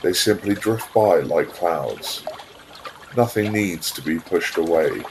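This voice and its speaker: A man narrating a scripted guided visualisation in a calm, soothing, unhurried way, leaving pauses between phrases. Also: low-pitched, background noise.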